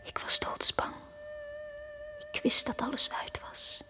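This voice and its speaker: An actress playing a drowned young girl in a radio play, telling her story in a hushed, breathy whisper. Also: takes it slowly, faint music behind. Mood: tense, haunted. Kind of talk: dramatic radio play monologue.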